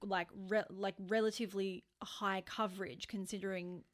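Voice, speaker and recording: A woman with an Australian accent and a mid-pitched voice co-hosts a true crime podcast. She narrates like someone telling friends a story, informal and happy to swear. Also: little pitch movement, moderate pace.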